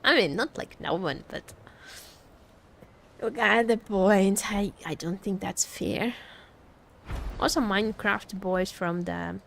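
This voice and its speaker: A woman's voice: medium pitch, slow, creaky, pauses often, slight background noise. A woman livestream host chatting casually, rambling and searching for her words as she goes. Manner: rambling, hesitant word searching.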